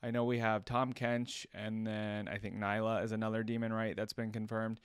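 A man's voice: fairly low pitch, level tone, normal speed, clean tone, no room sound, clean studio sound. A man in his twenties hosting a casual reaction video with off-the-cuff commentary. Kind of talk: casual reaction commentary, sometimes reading cards aloud.

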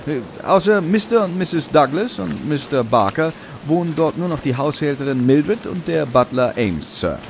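A man's voice: fairly high pitch, lively intonation, moderate pace, vocal fry, few pauses, slight background noise. An actor playing an eager, deferential village policeman in a German radio drama, hesitant and polite. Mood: flustered, respectful.

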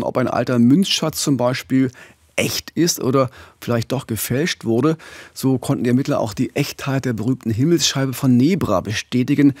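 A man's voice: mid-range voice, darkish tone, breathy edge, dry recording. A middle-aged man co-hosting a true-crime podcast in a conversational narrating style, on a studio microphone. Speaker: podcast co-host. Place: small radio studio. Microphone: studio microphone with pop filter, headphones.

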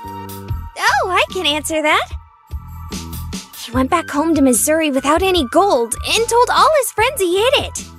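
A woman's voice: very high voice, big pitch swings, a little slow, long pauses, fairly bright tone, faint music behind, heavily acted. An actress playing a sweet, shy, earnest young woman with a girlish manner in a visual novel. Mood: eager, explaining.

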